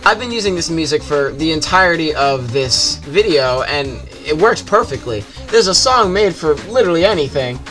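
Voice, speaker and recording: A man's voice: a little high, lively intonation, moderate pace, hardly any pauses, fairly bright tone, soft background music. A man giving a casual, jokey, scripted video game review as a YouTuber, with some swearing.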